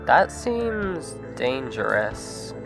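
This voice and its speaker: A man's voice: medium pitch, very animated, very slow, vocal fry, fairly bright tone, soft background music, heavily acted. A man playing an anxious, self-deprecating lone traveller in a zombie audio drama, speaking in a hesitant, awkward, wry way. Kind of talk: audio drama acting, casual dialogue.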